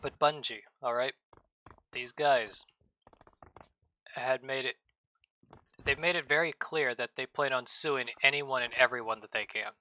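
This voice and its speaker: A man's voice: medium pitch, measured pace, pauses often, bright, forceful tone, poor audio. A man in casual gaming chat, joking and rambling with playful, absurd asides. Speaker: streamer co-host.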